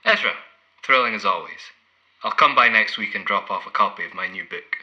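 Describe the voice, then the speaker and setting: An actor playing a grave, proud male poet in an audio play, speaking in a measured, terse manner. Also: on the low side, pushed and bright, muffled recording. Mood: dry, reproving.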